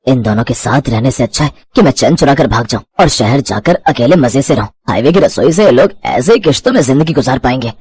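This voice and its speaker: A man plays a selfish, scheming grown son in a moral story. The voice is husky and moves expressively up and down. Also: mid-range voice, lively intonation, fast, few pauses, a little mellow.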